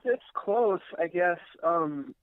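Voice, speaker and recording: A man's voice: high voice, animated, slow pace, faint music behind. A man from the United States, a musician, chatting casually in an interview in a hesitant, unassuming way. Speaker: rock guitarist being interviewed. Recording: tinny narrow phone-like line.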